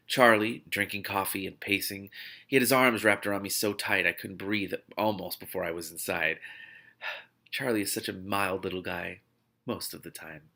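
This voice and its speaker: A man reading fiction aloud as host of a read-along show, with expressive, dramatic delivery. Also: fairly low pitch. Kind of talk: expressive audiobook-style reading with spontaneous reactions.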